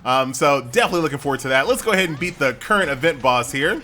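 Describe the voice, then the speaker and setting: A man's voice: mid-range voice, animated, racing, sharp tone. An American man giving casual, chatty gameplay commentary in an informal, conversational way. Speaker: gaming YouTuber.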